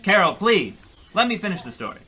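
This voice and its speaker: Man acting a high, animated, gullible husband. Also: brisk, breathy edge, full character voice, poor audio. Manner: rambling, exasperated. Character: gullible, rambling, defensive husband telling his tall story. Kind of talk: old-time radio comedy acting.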